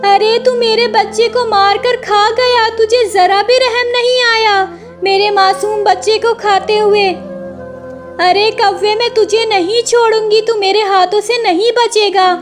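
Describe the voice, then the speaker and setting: Woman voicing a high-pitched, protective mother bird. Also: steady tone, a little slow, soft background music. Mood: grieving, furious.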